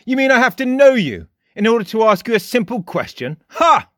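A man's voice: extremely high pitch, very animated, fairly bright tone, no room sound, clean studio sound, pitched above the performer's own voice, exaggerated voice. A man doing a cocky fox-like teenage boy. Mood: taunting. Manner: mocking, lofty, rapid-fire barrage. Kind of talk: audiobook character voice.

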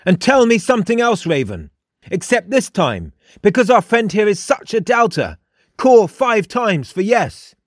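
A man's voice: high voice, big pitch swings, unhurried, no echo, clean studio sound, pitched above the performer's own voice, exaggerated voice. A man voicing a smug, theatrical young male mystic in a storybook, declaiming with pompous emphasis. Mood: smug, grand. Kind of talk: audiobook character dialogue.

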